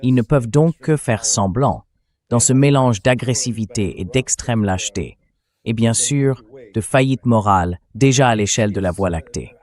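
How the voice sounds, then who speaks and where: A man's voice: medium pitch, normal speed, darkish tone. A man giving opinionated political commentary as an interview guest, emphatic and fond of rhetorical questions, in a synthetic translated dub.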